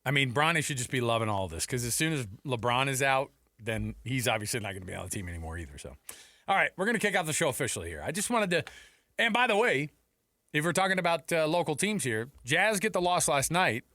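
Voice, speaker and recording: Animated, bright-voiced male sports radio host. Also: medium pitch, fast, no room sound. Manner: animated, informal, playful. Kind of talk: casual sports talk banter. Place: radio studio. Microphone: close studio mic.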